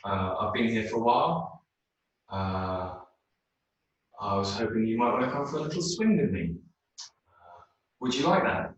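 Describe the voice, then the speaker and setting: A man's voice: fairly low pitch, animated, moderate pace, pauses often, muffled recording, natural voice. A man voicing a friendly, chatty male sea turtle in an easygoing, hesitant way. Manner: easygoing, hesitant with little fillers. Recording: very echoey room, mic far off.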